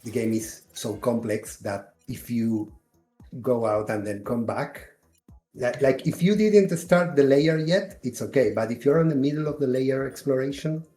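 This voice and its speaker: Argentine man, warm, halting explanations. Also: mid-range voice, faint music behind. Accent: Argentine Spanish. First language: Spanish. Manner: halting, frequent restarts and laughs while explaining. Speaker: game developer guest. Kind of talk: casual video call interview.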